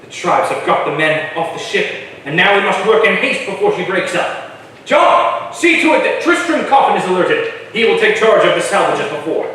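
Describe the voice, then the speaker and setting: An earnest, principled colonial father, played by a man speaks in a fairly high voice. It is a staged historical reading, caught from far off in a very echoey hall with faint noise. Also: sing-song, moderate pace, pushed and bright, heavily acted. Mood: urgent. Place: live stage in a reverberant hall.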